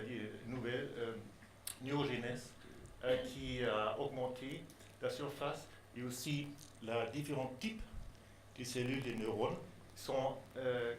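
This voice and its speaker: A man presenting his research in French, which is not his native language, speaking haltingly with many pauses and restarts. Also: mid-range voice. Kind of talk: hesitant formal presentation in a second language. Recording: echoey room, faint background noise.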